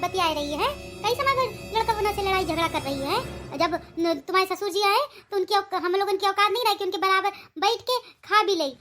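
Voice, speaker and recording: A woman voicing sharp-tongued, combative village women in a comic cartoon, with an Awadhi Hindi accent. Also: very high voice, noisy background. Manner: scolding, combative. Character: sharp-tongued village wife and her scolding mother-in-law, cartoon.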